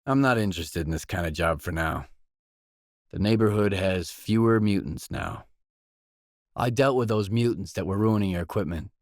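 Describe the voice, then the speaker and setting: An actor playing a blunt, self-reliant mercenary stalker in a video game, speaking in a terse, matter-of-fact way. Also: male voice, on the low side, dry recording, studio quality. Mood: noncommittal. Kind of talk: video game dialogue lines.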